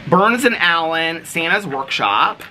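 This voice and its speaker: An American man chatting casually to camera as a vlogger, in a gushing, easily excited manner. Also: fairly high pitch, slow. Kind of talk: casual show-and-tell chat to camera. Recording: clearly noisy home recording with clicks.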